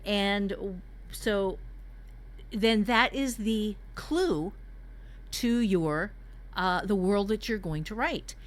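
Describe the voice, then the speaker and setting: A woman's voice: medium pitch, drawn-out words, long pauses. Casual woman teaching a writing workshop. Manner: candid, jokey, mildly profane. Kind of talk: conversational teaching.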